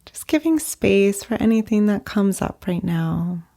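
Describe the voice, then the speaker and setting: A woman leading a guided meditation in a soft, gentle, unhurried way, speaking in short phrases with long pauses. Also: medium pitch, lively intonation, vocal fry. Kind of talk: guided meditation, slow and soothing narration.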